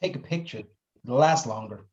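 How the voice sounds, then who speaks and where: An actor playing an awkward, sarcastic, lovesick young man in a screenplay table read, with halting, stammering delivery. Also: mid-range voice, animated, a little mellow, dull audio, natural voice.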